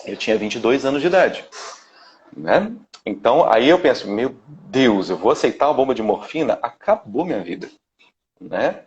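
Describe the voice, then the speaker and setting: Brazilian man in his 30s, vocal coach guest, mid-pitched, chatty and rambling, dull lo-fi call audio. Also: sing-song, moderate pace, a little hiss or hum. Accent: Brazilian Portuguese. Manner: rambling, frequent "né?" tags and restarts. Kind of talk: casual live-stream interview. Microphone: video call. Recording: dull lo-fi live-stream audio.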